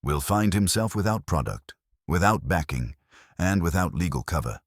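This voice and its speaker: A stern, imperious detective who rules a room from his chair, as a male narrator puts him on. The voice is very deep, yet it rises and falls with lively, deliberate emphasis. Every word lands cold and precise in a close, dry sound. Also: dry recording.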